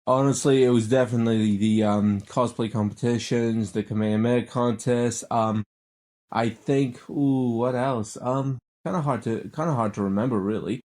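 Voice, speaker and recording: A man's voice: medium pitch, moderate pace, dark tone. A man from New Zealand co-hosting a podcast, talking casually and hesitantly with many ums. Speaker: podcast co-host. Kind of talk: casual chat.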